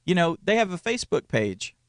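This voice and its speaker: A man's voice: high voice, big pitch swings, fairly quick, fairly bright tone, no room sound, studio quality. A man in his forties co-hosting a casual ministry chat, rambling and restarting his sentences with small chuckles. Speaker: co-host, church media consultant. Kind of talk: casual conversational podcast.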